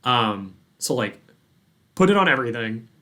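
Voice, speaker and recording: A man's voice: mid-range voice, big pitch swings, normal speed, sharp tone. Guy hosting a gaming podcast, informal and into it, just chatting. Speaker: podcast host. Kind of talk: casual gaming podcast chat.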